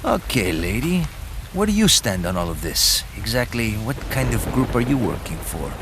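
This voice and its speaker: A cocky charmer from a video game, with a very animated, swooping voice. He flirts and wisecracks theatrically, over clear background noise of rain. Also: on the low side, moderate pace. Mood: wry probing. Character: flirtatious wisecracking Spanish rogue scientist, adult man. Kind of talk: video game cutscene acting.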